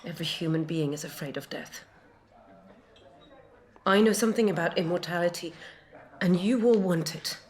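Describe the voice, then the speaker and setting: A woman's voice: on the low side, normal speed, long pauses, a little mellow, close to an everyday voice. A woman playing a knowing female artificial intelligence, delivering a scripted dramatic monologue about humankind. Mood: knowing, solemn.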